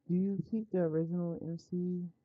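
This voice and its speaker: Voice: medium pitch, level tone, moderate pace, no echo. American game streamer, androgynous mid-range voice, casual, profane, muttering, close dry microphone, slightly dull lo-fi sound. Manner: informal, profane, muttering to self and viewers. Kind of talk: casual live game stream chat with read-aloud visual novel text.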